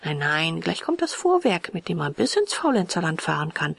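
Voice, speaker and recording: A woman's voice: on the low side, sing-song, moderate pace, vocal fry, slightly rough, dry recording, exaggerated voice. A woman voicing a sly, lazy schoolboy tempter in a storybook, with a coaxing, dismissive drawl. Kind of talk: storybook character dialogue.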